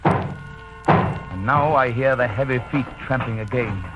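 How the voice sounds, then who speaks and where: An actor playing a frightened young English captive, earnest and haunted, in old radio horror drama style. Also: male voice, mid-range voice, slow, lightly acted. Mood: haunted fear. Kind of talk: 1930s radio horror acting. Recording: muffled vintage radio recording. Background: music.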